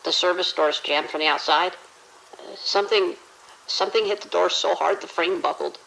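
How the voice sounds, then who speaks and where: A man's voice: sits high, gravelly, pushed and bright, slight background noise, exaggerated voice, rough recording. Terrified trapped hospital worker, acted by a man. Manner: stammering, halting, fearful. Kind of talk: audio drama acting.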